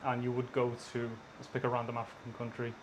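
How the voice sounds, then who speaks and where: A man's voice: mid-range voice, monotone, quick pace, lo-fi sound, slight background noise. A man interviewing a guest, steadily posing and framing questions.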